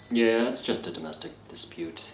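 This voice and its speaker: Man acting low-voiced matter-of-fact policeman. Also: normal speed, lightly acted. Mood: businesslike. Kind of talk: radio thriller acting. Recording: echoey, faint background noise.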